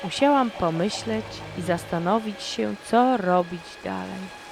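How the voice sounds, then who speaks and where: A woman's voice: mid-range voice, a little slow, gravelly, music in the background, rough recording. A woman telling a dramatized first-person horror story as a frightened, lonely heroine, with dramatic delivery. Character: frightened lonely young woman fleeing zombies. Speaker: narrator and voice of the lead character.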